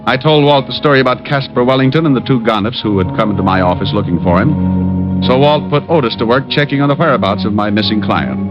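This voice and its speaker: An actor playing a wisecracking private detective who also narrates, in an old radio drama with dry, easy delivery. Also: male voice, mid-range voice, quick pace, close to an everyday voice. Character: wisecracking male private eye. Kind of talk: 1940s radio acting. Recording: dull vintage radio recording with music.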